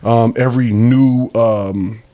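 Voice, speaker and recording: A man in his late thirties from the Midwest, talking casually as a podcast guest in loose street slang, with sudden animated bursts. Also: medium pitch, takes it slowly. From Milwaukee, Wisconsin. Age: 30s. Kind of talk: casual conversation.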